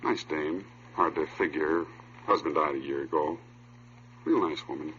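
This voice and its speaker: A streetwise informant with an extremely deep voice in an old radio crime drama. He talks in terse, offhand slang on a muffled recording with faint hiss. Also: moderate pace, a bit bright. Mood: guarded, offhand. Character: laconic, streetwise male underworld informant.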